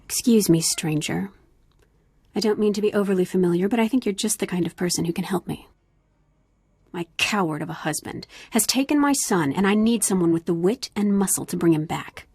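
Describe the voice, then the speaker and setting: An actress playing a proud, determined mother in a video game, earnest and intense with a husky voice. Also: mid-range voice, a little fast, a little mellow. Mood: urgent, scornful. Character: proud, determined mother with scorn for her husband.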